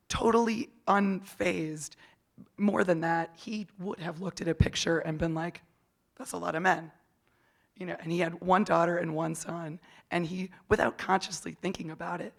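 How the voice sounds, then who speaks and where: A woman's voice: on the low side, vocal fry. A woman speaking as a panelist at a conference, candid and personal, often restarting her sentences. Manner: candid, many restarts and fillers. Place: conference hall stage.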